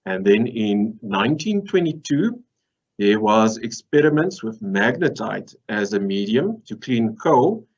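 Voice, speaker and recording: A man's voice: lowish voice, a little slow. A man in his thirties from South Africa, speaking English with an Afrikaans accent, giving a conference presentation with dry jokes. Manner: dry joking, lively lecturing. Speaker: process engineer presenter. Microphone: video call webcam. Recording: dull lo-fi video call audio.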